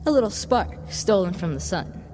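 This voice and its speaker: A woman playing a smooth-tongued devil boy in an audio drama, coaxing like a salesman and offering tempting gifts. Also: medium pitch, sing-song, moderate pace, dark tone, close to an everyday voice. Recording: long reverb, background noise.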